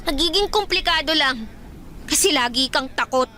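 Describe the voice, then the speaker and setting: A woman's voice: sits high, expressive, normal speed, a crowd in the background. A woman playing a bold, lovestruck young provincial woman in a Filipino radio drama, pleading and insistent, with a Filipino accent. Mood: hurt, insistent.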